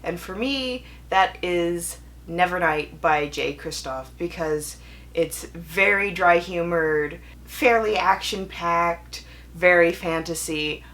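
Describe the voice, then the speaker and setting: Young adult woman, somewhat low pitch, casual vlog chat, animated emphasis, wry self-deprecating asides. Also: slow. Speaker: book vlogger. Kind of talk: casual chatty video to viewers.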